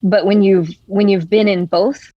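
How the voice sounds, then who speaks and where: A woman's voice: mid-range voice, steady tone, measured pace, no room sound, lo-fi sound. A young woman from West Virginia, raised in Florida, talking reflectively as a podcast guest. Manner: reflective, many "like" fillers. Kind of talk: casual conversation.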